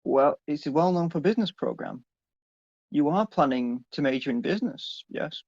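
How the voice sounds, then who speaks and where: A man's voice: a little high, lively intonation, moderate pace, a little mellow, lo-fi sound. A man playing a stern, middle-aged businessman father, curt and commanding, in a dramatic story reading. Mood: cold, businesslike.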